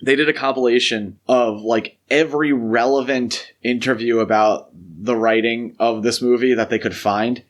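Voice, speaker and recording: A man's voice: medium pitch, fairly even intonation, normal speed, few pauses. A man co-hosting a podcast, talking casually and analytically through a film discussion in an explanatory way. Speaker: podcast co-host.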